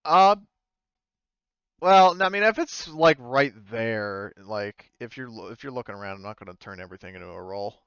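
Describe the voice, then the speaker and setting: A man's voice: mid-range voice, expressive, moderate pace, fairly bright tone, smooth, no echo, muffled recording. A man running a tabletop game as game master, talking informally and swearing casually. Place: close, dry mic in an online game session.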